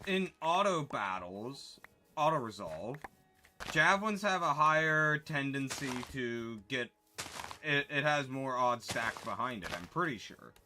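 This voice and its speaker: A man's voice: mid-range voice, animated, a little slow, over music. A man chatting casually with his chat while livestreaming a game, answering questions and correcting himself as he goes.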